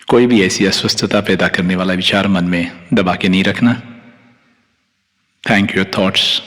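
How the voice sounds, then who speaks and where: Indian male meditation guide, low voice, calm and soothing, very slow delivery with long pauses. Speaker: meditation teacher, Reiki master guiding yoga nidra. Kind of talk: guided meditation, instructing listeners. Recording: long hall-like reverb on the voice.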